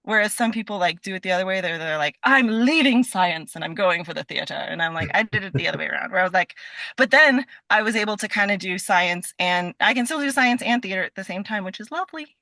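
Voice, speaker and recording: A woman's voice: mid-range voice, fast, dry recording. A woman talking casually as an interview guest, telling stories in a chatty, giggly way. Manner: chatty, animated storytelling with quoted asides; giggly, breaks into laughter. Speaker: podcast guest.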